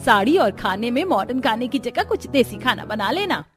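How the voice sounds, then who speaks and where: A woman's voice: fairly high pitch, big pitch swings, moderate pace, soft background music. A woman voicing a traditional middle-aged mother-in-law in a cartoon, polite and placating as she gives instructions. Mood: instructing.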